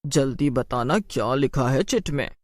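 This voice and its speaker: An imperious cartoon witch, voiced by a woman, low in pitch and quick to scold. She orders everyone about with a short temper, in a dry, close recording. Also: animated, darkish tone, no room sound. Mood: scolding, outraged. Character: bossy, short-tempered female witch hostess.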